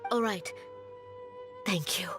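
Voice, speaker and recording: A woman's voice: mid-range voice, slow, natural voice. A woman playing an anxious, polite mother of a sick child in a video game, pleading as she speaks. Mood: grateful. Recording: music clearly behind the voice.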